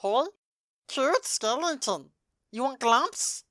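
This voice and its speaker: Here is a small, scatterbrained animal barkeep from a cartoonish audio story. The voice is bright and very animated, pitched up, with childlike, broken phrasing and a cheery, excitable temper. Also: female voice, slow pace, dry recording, clean studio sound, heavily acted. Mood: cheery, spacey.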